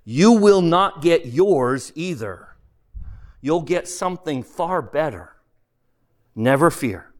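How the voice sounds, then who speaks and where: A man voicing a serene, gently amused heavenly spirit in a storybook, speaking with calm candour. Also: a little high, takes it slowly, long pauses, voice pushed up. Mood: calm, reassuring. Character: serene, mirthful heavenly spirit of a forgiven male murderer. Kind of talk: dramatised audiobook character voice.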